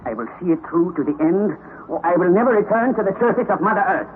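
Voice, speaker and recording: A man's voice: high voice, lively intonation, fast. An actor playing a stubborn, fiercely determined older male professor in an old radio drama, shifting between tender murmurs and stern commands. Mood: defiant. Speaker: uncle on an expedition. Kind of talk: 1930s-40s radio drama acting. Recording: tinny old radio recording, faint hiss.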